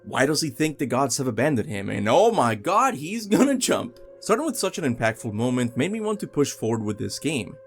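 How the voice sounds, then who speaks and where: A man's voice: mid-range voice, very animated, fairly quick, few pauses. A man presenting scripted video game commentary, speaking enthusiastically and emphatically straight to his viewers. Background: music.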